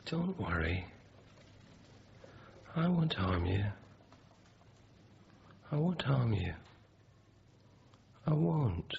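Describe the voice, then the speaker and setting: A dull-sounding radio drama recording of a slow, halting male hostage-taker. He is volatile yet oddly protective, and his pitch leaps about widely. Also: very animated, gravelly, darkish tone, a little hiss or hum, full character voice. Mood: reassuring. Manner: halting, repeating himself, swinging to agitated.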